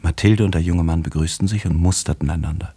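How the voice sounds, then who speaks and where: Male narrator, radio drama. Low, rough-edged voice, scripted literary prose told with dry irony. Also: fairly even intonation, quick pace, mellow.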